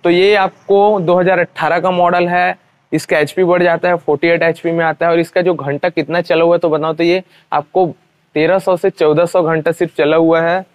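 A man's voice: on the high side, flat delivery, moderate pace, no room sound. A young man from India, giving an informal, repetitive and explanatory sales walkaround as a vlogger. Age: young adult. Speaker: vlogger presenting used tractors. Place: outdoors at a roadside tractor dealership.

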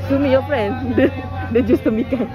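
High-voiced chatty Malaysian man at a market. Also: steady tone, lo-fi sound, music in the background. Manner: chatty, playful, quick asides. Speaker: vlogger. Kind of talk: casual chat while filming. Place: crowded outdoor market. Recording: busy crowd behind.

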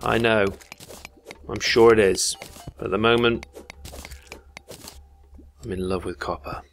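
A man's voice: fairly low pitch, fairly even intonation, unhurried, a bit bright, faint music behind. A man from England giving rambling, casual commentary while playing a video game, swearing now and then. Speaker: gaming YouTuber. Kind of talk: casual let's play commentary.

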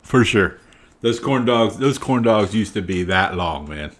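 A middle-aged Southern man with a somewhat low voice talks into a studio mic in a small home room. His chat is casual and emphatic, full of asides and ready laughter. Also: animated, unhurried. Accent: Southern American. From Southern United States. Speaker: reaction video host. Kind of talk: casual commentary. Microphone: studio mic on arm, wearing headphones.

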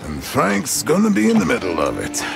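A man playing a big, jovial, rough gunslinger with a gravelly voice in a video game. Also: mid-range voice, wildly swooping pitch, moderate pace, over music, full character voice. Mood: jovial, eager.

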